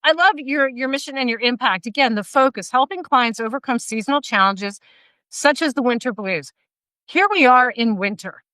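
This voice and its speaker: A woman in her fifties hosting a casual interview over a video call, energetic and laughing often. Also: fairly high pitch, moderate pace, no echo, low-quality recording. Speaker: podcast host. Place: bright room at home, indoors. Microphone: video call, laptop mic.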